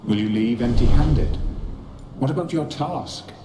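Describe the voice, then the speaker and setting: A man's voice: mid-range voice, measured pace, close to an everyday voice. An actor voicing a world-weary male spirit servant in a video game, theatrical, formal and eerie. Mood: weary, solemn. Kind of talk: video game dramatic acting. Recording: roomy echo, noisy game ambience.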